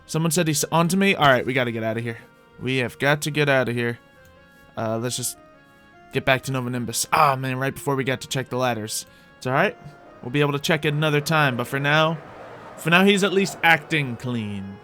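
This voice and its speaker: A man gives casual commentary over a video game, with music playing behind him. His voice sits at an average pitch. He rambles along to his viewers in light roleplay, often saying the same phrase over again. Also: fairly quick, sharp tone. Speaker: host of a Minecraft roleplay video.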